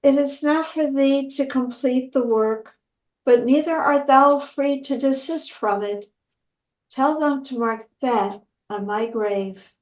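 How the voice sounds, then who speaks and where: Woman as dying resistance fighter, high voice, slow, quietly proud, echoey stage drama. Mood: weak, proud.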